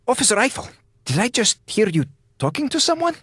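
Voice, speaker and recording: Radio drama villain: a condescending doctor, formal and coldly patient, with extremely wide pitch swings. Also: male voice, very animated, fairly bright tone, husky, no echo, clean studio sound, soft background music, exaggerated voice. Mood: suspicious, sardonic. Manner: formal, deliberate, condescending, clipped grammar. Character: menacing, coldly patient male station doctor.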